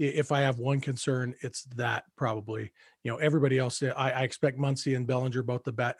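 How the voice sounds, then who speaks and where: A man co-hosting a casual sports podcast, giving measured, thoughtful analysis with hesitant restarts. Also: medium pitch, dry recording, studio quality.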